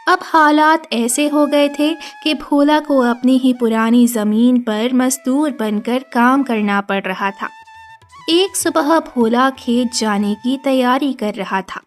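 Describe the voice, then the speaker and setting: Female narrator for scripted children's tales, very high voice, unhurried pace. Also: soft background music.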